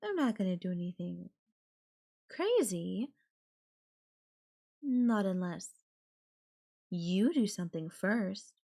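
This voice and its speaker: Woman acting a slow-talking obsessive yandere girlfriend. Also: medium pitch, very animated, gravelly, warm tone, exaggerated voice. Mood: teasing, menacing. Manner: coy, drawn-out, casual filler-laden phrasing with giggles and sighs. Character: obsessive, possessive yandere girlfriend, playfully menacing kidnapper. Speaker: voice actor. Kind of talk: audio roleplay, casual intimate monologue.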